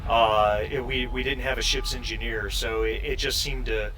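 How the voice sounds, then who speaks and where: A man acting as game master, improvising tabletop narration in a halting, easygoing way. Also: mid-range voice, steady tone, sharp tone, faint music behind. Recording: distant mic, clear background noise.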